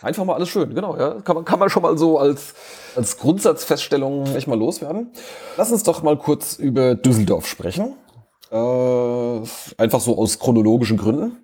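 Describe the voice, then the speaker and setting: A man hosts a casual fan podcast over a remote call. He talks in a chatty, joking way and often restarts his sentences. His voice is mellow and somewhat husky. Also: mid-range voice, lively intonation, normal speed. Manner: chatty, self-interrupting, joking. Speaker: podcast host.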